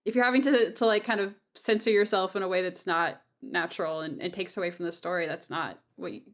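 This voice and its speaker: A woman's voice: lowish voice, brisk. A woman hosting a casual interview, thinking aloud in an informal, hedging way. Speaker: host and audio drama producer.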